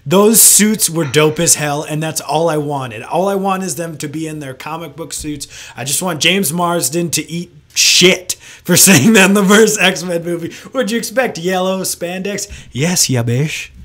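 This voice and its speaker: Male co-host, slightly high pitch, relaxed, chuckles a lot. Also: a little slow, hardly any pauses. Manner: laid-back, laughs often, reads viewer comments. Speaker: podcast co-host reading chat. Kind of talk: casual movie chat.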